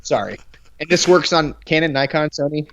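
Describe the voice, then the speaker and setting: A man's voice: on the high side, expressive, moderate pace, fairly bright tone, a little hiss or hum. A man co-hosting a live photography podcast, joking playfully through casual banter. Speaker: podcast co-host, photography instructor. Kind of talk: casual live-stream chat. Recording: slightly dull lo-fi audio.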